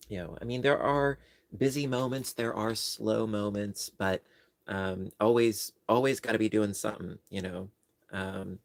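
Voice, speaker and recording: Gravelly-voiced New York man chatting. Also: lowish voice, no echo. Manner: rambling, self-deprecating, joking. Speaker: livestream host. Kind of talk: casual livestream chat.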